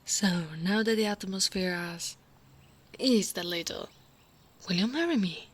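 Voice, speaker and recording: A tall female orc royal guard, played by a woman from Italy, devoted and tender. She takes her time over her words in a medium-pitched voice, soft and intimate, in the manner of an ASMR roleplay. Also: husky. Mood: earnest, hopeful. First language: Italian. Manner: slow, intimate, often dropping to a whisper. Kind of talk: ASMR roleplay, spoken softly to the listener.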